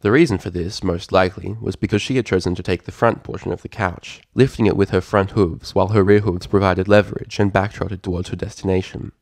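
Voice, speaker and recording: A man's voice: deep voice, fairly even intonation, brisk, hardly any pauses, a little mellow, no echo. A man reading fan fiction aloud as a scripted, dramatic story narrator.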